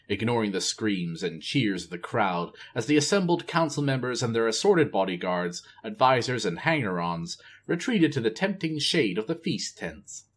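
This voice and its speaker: A man giving a casual, expressive storytime reading of a novel. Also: lowish voice, husky. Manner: expressive, varied delivery. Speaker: reader, channel host.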